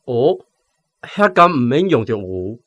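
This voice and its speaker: Plain young Taiwanese farmer, dry sound. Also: male voice, medium pitch, big pitch swings, measured pace, a bit bright, dry recording. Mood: curious, tentative. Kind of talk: radio drama acting.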